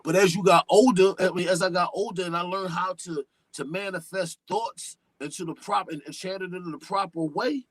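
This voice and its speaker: A man, a hip-hop artist who calls his own voice deep, though it sits a touch higher than most men's. He rambles in animated, streetwise slang and swears freely, and the recording is dry and close to the mic. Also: brisk, few pauses, no echo. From New York. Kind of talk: casual podcast chat.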